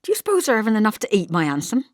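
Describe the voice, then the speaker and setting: A woman's voice: medium pitch, wildly swooping pitch, rapid-fire, dry recording, studio quality, pitched above the performer's own voice. A woman voicing a homely, fretful middle-aged mother, earnest and fussing, in an audiobook. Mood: worried.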